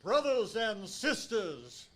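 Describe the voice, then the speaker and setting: Man putting on a high, theatrical older preacher. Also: big pitch swings, fairly bright tone, exaggerated voice. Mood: solemn preaching. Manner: theatrical, solemn, drawn-out delivery. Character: tall older gentleman, fervent cult preacher feigning tender concern.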